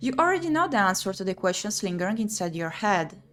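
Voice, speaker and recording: A woman streamer reading a visual novel aloud in an expressive, theatrical way as she plays through it. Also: mid-range voice, fast. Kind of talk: expressive read-aloud of visual novel text with playthrough commentary.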